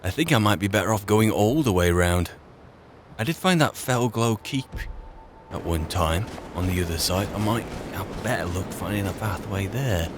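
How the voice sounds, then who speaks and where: A man's voice: lowish voice, animated, moderate pace, over music. A man doing in-character roleplay commentary for a video game as a wary adventuring wizard, musing to himself in short phrases. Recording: clear background noise, game ambience.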